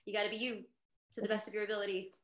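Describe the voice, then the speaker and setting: A woman actor in her thirties telling a story on a video-call panel, animated and chatty, with many fillers and restarts. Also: medium pitch, rapid-fire. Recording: roomy echo.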